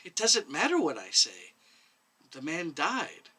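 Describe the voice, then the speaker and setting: Meek, defensive female medic, acted by a male reader: slightly high, bright, quiet, hesitant, plain-spoken, for a story reading. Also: wildly swooping pitch, unhurried, slightly rough, exaggerated voice, low-quality recording. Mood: resigned. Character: meek, defensive woman medic under questioning. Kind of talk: dramatic short story reading.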